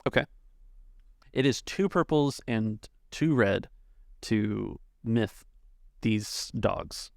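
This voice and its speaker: A man working as game master, narrating a tabletop game off the cuff in measured, halting phrases. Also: lowish voice, lively intonation, vocal fry, no echo, studio quality.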